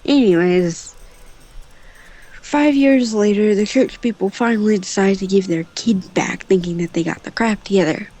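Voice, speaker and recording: A woman's voice: medium pitch, normal speed, dark tone, low-quality recording. A woman telling a story casually and off the cuff, rambling, with offhand asides. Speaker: storyteller on a gaming channel.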